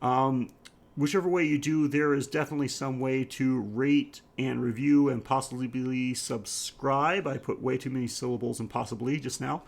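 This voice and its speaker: A man's voice: medium pitch, fairly even intonation, moderate pace, gravelly. A man co-hosting a podcast, chatting casually through the show's sign-off and listener plugs. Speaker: podcast co-host. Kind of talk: casual podcast outro chat.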